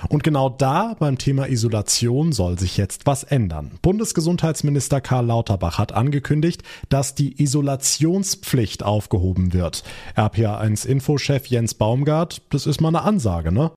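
A man's voice: medium pitch, sing-song, normal speed, dark tone. A man presenting a radio news magazine and leading into conversations with colleagues.

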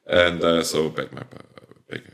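A man's voice: sits low, steady tone, unhurried, fairly bright tone. A man with a Swiss German accent, speaking English as a later language, answering interview questions hesitantly with many fillers and restarts. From Switzerland. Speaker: plant producer, company owner.

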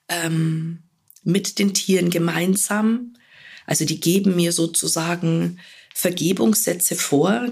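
A woman talks in an unhurried, earnest monologue, her voice hoarse and husky from a cold. There is a gravelly edge to her lowish voice, and she leans on soft fillers and repeated words. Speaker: podcast host, animal communicator. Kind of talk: solo podcast monologue.

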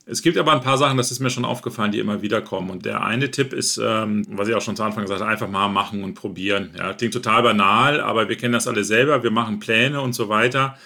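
A man's voice: on the low side, fast talker, vocal fry, hardly any pauses, bright, forceful tone. A man from northern Germany, a podcast host answering interview questions in a chatty way, thinking aloud as he talks. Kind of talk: relaxed conversational interview.

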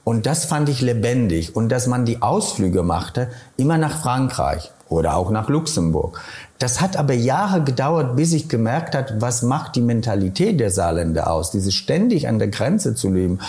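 A middle-aged man from Silesia with a Polish accent, answering interview questions thoughtfully and pausing often to find his words. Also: medium pitch, big pitch swings, darkish tone. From Poland, Silesia. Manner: thoughtful, searching for words, many hesitations.

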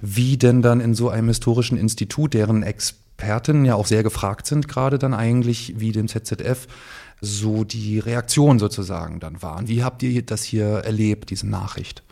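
A man's voice: fairly low pitch, moderate pace, creaky, dark tone. A man interviewing guests, asking a long, rambling question.

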